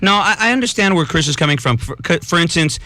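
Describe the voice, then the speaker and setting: A man's voice: a little high, lively intonation, fast talker, pushed and bright. A man co-hosting a late-night call-in radio show, rambling through made-up stories to make his point, on a studio microphone. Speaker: radio co-host.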